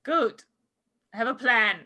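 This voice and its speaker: High bright voice, bossy eldest witch sister, acted by a woman, imperious, irritable, archaic phrasing, table read. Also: unhurried. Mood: haughty impatience.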